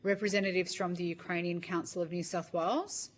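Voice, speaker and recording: A woman's voice: fairly low pitch, fairly even intonation, brisk, a little hiss or hum. A woman chairing a parliamentary committee hearing, polite and procedural.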